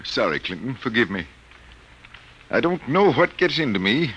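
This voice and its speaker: An actor playing an irritable, troubled middle-aged American college professor in a hesitant, muttering, old-time radio drama style. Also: male voice, medium pitch, very animated, moderate pace, fairly bright tone, breathy edge. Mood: weary, apologetic. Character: absent-minded, troubled, irritable male college psychology professor. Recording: muffled vintage radio recording.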